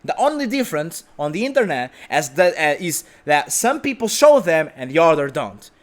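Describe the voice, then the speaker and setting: A confident man talks straight to camera from his sofa at home, brash and sure of himself. His voice sits a little high and swings widely in pitch as he rambles, restarting sentences and tossing in the occasional swear word. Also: big pitch swings, normal speed. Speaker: vlog host. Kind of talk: unedited casual monologue.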